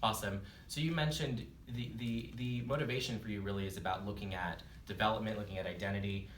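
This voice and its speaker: A man interviewing a guest, asking quick, rambling questions with many restarts. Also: lowish voice, steady tone, a bit bright, reverberant, distant mic, a little hiss or hum. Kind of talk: casual interview.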